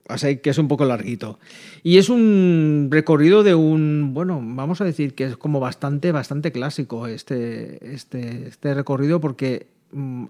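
A man with an accent from Spain hosting a travel talk, explaining things in a conversational, halting way with frequent filler words. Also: a little high, a little mellow. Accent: Spain Spanish. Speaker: host of a travel programme.

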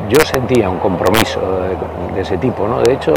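Gravelly older man, noisy recording. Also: lowish voice. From Basque Country. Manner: hesitant, many pauses and restarts, casual swearing. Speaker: interview guest, party member. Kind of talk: conversational storytelling interview. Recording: loud background noise.

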